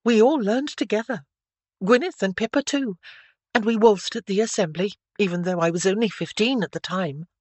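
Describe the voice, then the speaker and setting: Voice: mid-range voice, animated, normal speed, no echo, pitched above the performer's own voice, close to an everyday voice. A narrator voicing an eager, chatty, polite teenage girl of the gentry in an audiobook. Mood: chirpy. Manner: earnest, polite, chirpy. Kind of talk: audiobook character dialogue.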